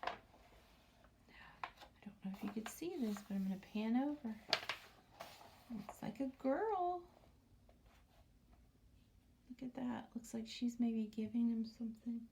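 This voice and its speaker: A woman teacher reading a picture book aloud to young children, commenting and asking questions, often dropping into a hushed near-whisper. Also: on the high side, a little slow, vocal fry, long pauses, noisy background. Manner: asks the listening children questions.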